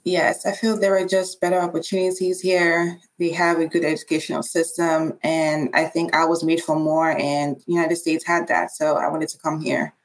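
A woman's voice: low-pitched, flat delivery, moderate pace, hardly any pauses, rough recording. Confident woman from Nigeria. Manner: brief, confident replies. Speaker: nurse. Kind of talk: interview answers.

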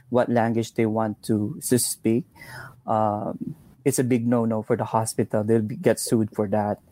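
A man with a mellow, unforced voice and English as his second language. He talks casually and earnestly as an interviewed nurse, circling back to repeat his points. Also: medium pitch, no echo. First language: not English; English is second language. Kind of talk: casual anecdotal conversation.

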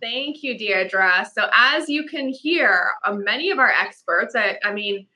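A woman's voice: mid-range voice, animated, measured pace, sharp tone. A woman hosting an online panel, upbeat as she introduces and thanks each guest. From immigrant to the US. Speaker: host. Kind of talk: webinar panel moderation.

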